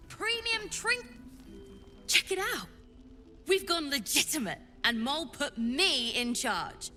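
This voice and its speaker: A woman voicing an eager, cheeky tiefling child shopkeeper in a video game, excitable and full of sales patter. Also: high-pitched, slow pace, soft background music, full character voice. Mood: eager sales pitch.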